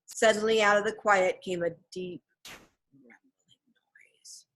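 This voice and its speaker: A woman reading scripted narration from a children's novel in a measured, expressive storytelling manner. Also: medium pitch, rough recording. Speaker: storybook reader.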